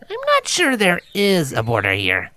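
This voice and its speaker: A man's voice: a little high, wildly swooping pitch, normal speed, pushed and bright, no room sound, voice pushed up, heavily acted. A man voicing a blunt, wry female griffon mercenary in a fantasy story. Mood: wry, grumbling.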